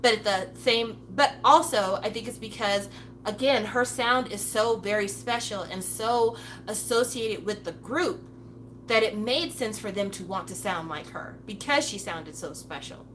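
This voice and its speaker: A woman's voice: mid-range voice, unhurried, fairly bright tone, slight background noise, poor audio. A woman giving a casual, blunt opinion monologue, swearing freely and breaking into playful impressions of other people. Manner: acts out playful voices of others. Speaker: commentator.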